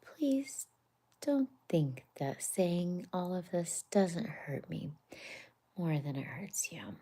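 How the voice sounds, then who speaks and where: A woman voicing an earnest, sensible male water rat in a soft whisper. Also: lowish voice, very animated, slow pace, breathy edge, full character voice.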